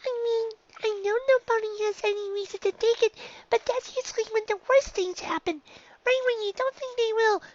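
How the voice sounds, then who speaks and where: A woman's voice: very high-pitched, moderate pace, muffled recording, a little hiss or hum, pitched above the performer's own voice. A woman voicing an anxious little girl unicorn pony in a story, flustered and rambling. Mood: worried.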